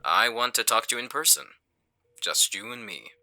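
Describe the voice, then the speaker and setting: A man playing a calculating, menacing villain in an audio roleplay, with a smug, condescending, deliberate delivery. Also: mid-range voice, lively intonation, sharp tone, rough recording. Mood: calm menace. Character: smooth-talking male villain, calculating, menacing leader. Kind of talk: audio roleplay acting on a phone call.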